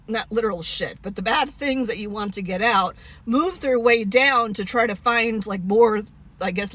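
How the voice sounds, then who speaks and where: A woman in her fifties talks to her camera in a loose, chatty way, often stopping to restart a thought. Her voice sits a little high for a woman. She is frank and laughs at herself now and then. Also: fairly bright tone, a little hiss or hum, rough recording. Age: 50s. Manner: rambling, chatty, many fillers and restarts. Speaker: vlogger. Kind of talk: casual chat to camera.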